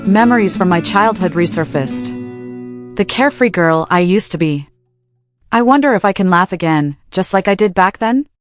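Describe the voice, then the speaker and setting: A woman reading a first-person story aloud, soft and wistful, with music behind her. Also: mid-range voice, animated, moderate pace. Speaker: audiobook narrator. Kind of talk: scripted first-person narration.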